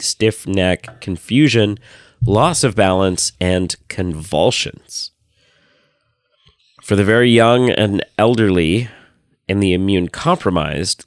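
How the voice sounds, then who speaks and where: A man with a hoarse, nasal voice that is wearing out, sitting a little low in pitch. He chats and jokes in a sarcastic, teasing way as a podcast co-host. The sound is dry and close, with no room around it. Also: no echo. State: hoarse, losing his voice. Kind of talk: casual chat.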